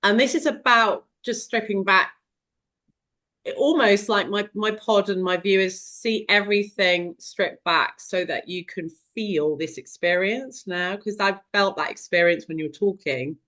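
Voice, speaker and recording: A woman hosting a podcast interview, speaking in a halting way as she searches for words. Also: mid-range voice, a bit bright. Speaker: podcast host.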